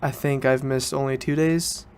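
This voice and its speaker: Young man chatting casually, flat pitch. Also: moderate pace, smooth. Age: teens to young adult student. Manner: informal, rambling, self-correcting. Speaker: podcast host. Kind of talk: casual solo podcast chat.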